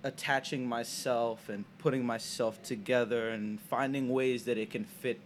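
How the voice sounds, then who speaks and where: A man's voice: mid-range voice, fairly even intonation, a little hiss or hum. Hesitant young man from Senegal. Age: young adult. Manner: halting, many restarts and fillers. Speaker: art student guest. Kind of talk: casual interview chat. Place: small college radio booth.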